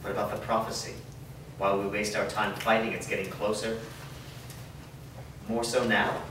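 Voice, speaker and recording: A man's voice: fairly low pitch, little pitch movement, normal speed, close to an everyday voice. A man playing a grave, loyal and resolute companion in a staged reading. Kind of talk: staged reading of a drama script. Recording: noisy, very echoey hall from far off.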